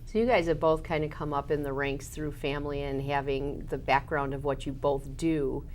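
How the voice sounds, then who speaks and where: A woman with a notably low voice hosts a local cable interview and leads it with friendly, steady questions. A faint hum of room noise sits behind her. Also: moderate pace. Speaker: host, village trustee.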